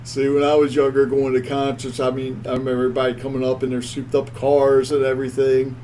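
A man's voice: medium pitch, completely flat delivery, fast talker, dark tone, a little hiss or hum. A man with a Baltimore accent hosting a podcast in casual, joking, self-deprecating chat. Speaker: podcast host.

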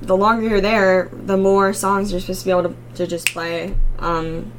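A young woman with a raspy voice, a singer-songwriter guest chatting casually in an interview with frequent ums. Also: mid-range voice, flat delivery, moderate pace, slight background noise, poor audio. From Maryland, United States.

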